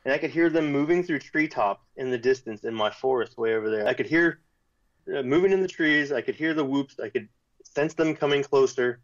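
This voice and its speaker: A man guest telling a personal story in casual talk, animated when quoting others, with restarts. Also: medium pitch, fairly quick, muffled recording. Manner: animated retelling with quoted voices, restarts.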